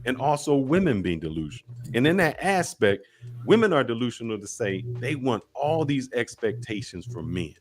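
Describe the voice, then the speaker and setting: Lively, blunt male call-in host. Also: medium pitch, normal speed. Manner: playful, blunt, teasing. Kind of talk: live call-in chat.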